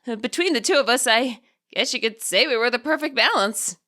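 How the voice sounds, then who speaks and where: A woman's voice: on the high side, normal speed, sharp tone, voice pushed up. Woman voicing a nervous, stammering middle-aged male spirit. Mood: gentle, reflective. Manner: hesitant, stammering, apologetic.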